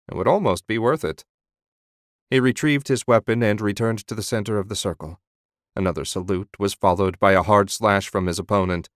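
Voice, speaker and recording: A man narrating a fantasy audiobook in measured storytelling. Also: on the low side, no echo, studio quality.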